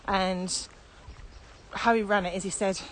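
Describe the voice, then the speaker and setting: A woman's voice: medium pitch, fairly even intonation, a little slow. A woman with a British accent co-hosting a podcast in casual, questioning conversation while out walking. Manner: questioning, acts out quotes. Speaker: podcast co-host and trainer. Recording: noisy walking recording with ambient sound.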